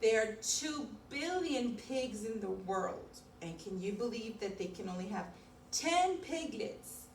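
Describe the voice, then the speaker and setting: A woman's voice: a little high, animated, unhurried. Woman, children's teacher, mid-range voice, simple informal explaining, roomy distant recording with faint noise. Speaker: preschool teacher co-hosting a video lesson. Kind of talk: informal lesson chat for children. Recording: echoey room, distant mic, faint noise.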